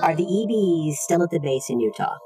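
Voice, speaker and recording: A man's voice: fairly high pitch, lively intonation, a little fast, loud music behind the voice. A man acting as interviewer, putting probing questions to someone.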